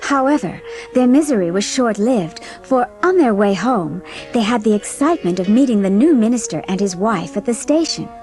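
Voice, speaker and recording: A woman's voice: on the high side, expressive, normal speed, a little mellow, soft background music. A woman reading scripted storybook narration as the narrator of a dramatised audiobook.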